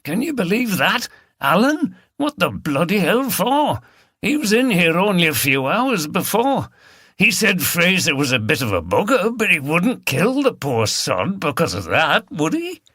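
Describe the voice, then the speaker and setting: Man playing a high-pitched, incredulous village gossip. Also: a little slow, slightly rough, dry recording, studio quality, exaggerated voice. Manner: excitable, blunt, mildly sweary. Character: gossipy village shopkeeper, incredulous pub regular.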